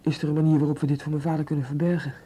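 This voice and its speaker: Fast, husky, frightened teenage boy. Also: fairly high pitch, little pitch movement, warm tone. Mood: anxious, desperate. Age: young adult. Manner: hesitant, stammering, pleading. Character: frightened studious boy under police questioning, afraid of his father. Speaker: police suspect. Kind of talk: radio drama acting.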